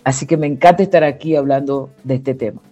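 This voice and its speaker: A woman from the Dominican Republic with a Dominican accent, explaining her views as an interview guest in a deliberate, reflective way, stressing key words. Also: extremely low pitch, dark tone, soft background music.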